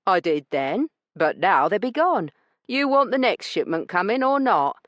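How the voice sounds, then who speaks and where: A woman reading a smirking, insolent male crook in a drawling, lower-class manner, in a dry recording. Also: sing-song, a little slow, dry recording, lo-fi sound.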